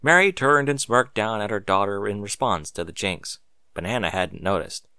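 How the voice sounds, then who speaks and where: A man reading scripted fiction as an audiobook narrator, with expressive storytelling. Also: on the low side, normal speed, smooth, no echo, clean studio sound.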